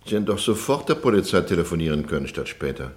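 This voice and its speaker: Stern male judge, low voice, sweeping intonation, commanding, radio drama. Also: very animated, quick pace. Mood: stern reproach. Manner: commanding, reproachful. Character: stern, authoritative male judge, His Lordship. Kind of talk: German radio courtroom drama acting.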